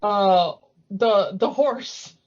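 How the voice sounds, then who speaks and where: A woman's voice: medium pitch, extremely slow. A woman hosting a podcast, chatting casually and enthusiastically about cartoons. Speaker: podcast host. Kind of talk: casual chat, cartoon commentary. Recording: dull lo-fi audio.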